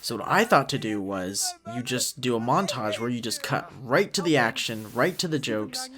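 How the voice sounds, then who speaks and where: A man's voice: medium pitch, very animated, moderate pace, a crowd in the background. A man giving a casual, rambling commentary track as creator of the series, thinking aloud with music behind him.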